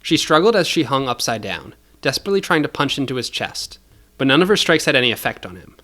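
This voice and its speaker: A man's voice: medium pitch, big pitch swings, quick pace, sharp tone. A man narrating scripted fiction as a storyteller, in a dramatic style.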